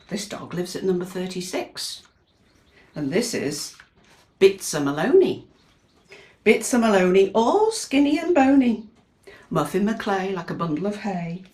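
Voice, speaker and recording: Very expressive British woman, slow storytime reading. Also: medium pitch, a little hiss or hum. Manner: expressive, asks the young listeners questions. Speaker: teacher reading a picture book to young children. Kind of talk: animated children's storytime read-aloud with chatty asides. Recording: echoey, reverberant room.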